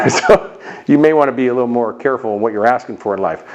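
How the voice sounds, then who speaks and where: Animated American motivational speaker man. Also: mid-range voice, fast, highs cut off. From Chicago, United States. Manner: animated storyteller, acts out little voices and jokes. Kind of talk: motivational talk to camera.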